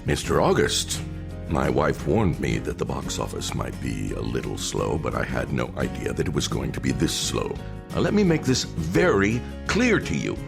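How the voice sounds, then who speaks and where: A man's voice: rumbling low, huge pitch swings, normal speed, gravelly, over music, full character voice. An actor playing a cold, stern wealthy man who owns a theater, speaking with threat in his voice.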